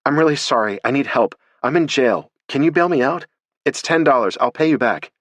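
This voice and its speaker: A man's voice: mid-range voice, expressive, moderate pace, fairly bright tone, dry recording. A man narrating a story voices a frightened, injured young woman who pleads urgently and breathlessly. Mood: frantic.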